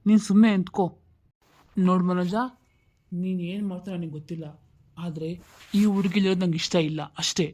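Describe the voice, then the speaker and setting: A woman's voice: on the low side, fairly even intonation, a little fast, long pauses, warm tone, close to an everyday voice. A woman voicing a stern, scornful elder brother who speaks curtly and stubbornly in a cartoon family drama. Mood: cold, stubborn. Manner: curt, dismissive, confrontational. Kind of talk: dramatic cartoon story dialogue.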